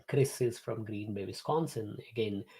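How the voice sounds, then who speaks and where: A man's voice: fairly low pitch, fairly bright tone, husky. A man, hosting a live-streamed class from his home office, chatting to viewers with many fillers and restarts. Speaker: host and instructor.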